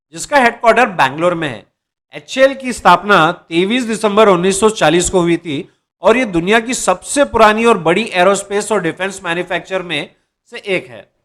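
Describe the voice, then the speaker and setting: A man with a notably high voice presents job news, speaking straight to his viewers. He reads out dates and criteria, now and then stumbling and restarting a word. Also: normal speed, fairly bright tone. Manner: addresses viewers as "दर्शकों", occasional restarts. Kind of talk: explainer reading job details.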